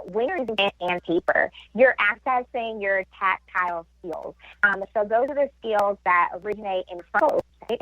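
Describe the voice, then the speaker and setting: A woman's voice: medium pitch, no echo. Explaining woman on a dull lo-fi line. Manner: explanatory, frequent fillers and "right?" tags. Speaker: health and wellness expert guest. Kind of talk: explaining advice on a radio talk show.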